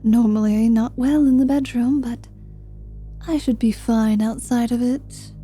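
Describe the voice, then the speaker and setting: Woman voicing a bright, sardonic fantasy adventuress. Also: a little high, fairly even intonation, measured pace, soft background music, natural voice. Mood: wary, teasing. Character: feisty, foul-mouthed female adventuring spellcaster, half vampire, sardonic. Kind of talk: ASMR fantasy roleplay acting.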